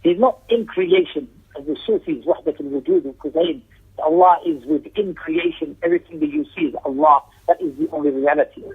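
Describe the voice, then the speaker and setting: A man with a fairly high, gravelly voice delivers an Islamic lecture. He is emphatic and repeats key phrases as he explains a text. The sound is thin and tinny, as if coming down a phone line. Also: sing-song, a little fast. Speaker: religious teacher giving a lesson.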